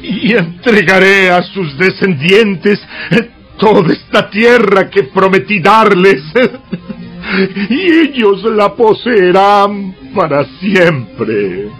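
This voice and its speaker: An anguished prophet in a bible audio drama, slow and stammering, high voice. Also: male voice, lively intonation, a bit bright, soft background music, heavily acted. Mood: anguished pleading. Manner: halting, stammering. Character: Moses, pleading, anguished and indignant prophet.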